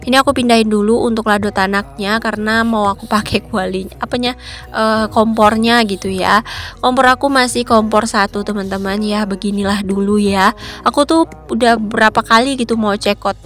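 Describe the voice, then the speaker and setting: A woman's voice: mid-range voice, fairly even intonation, moderate pace, a bit bright, faint music behind. Chatty woman with a stuffy nose. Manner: chatty, many fillers. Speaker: vlogger, housewife. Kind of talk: casual cooking vlog chat.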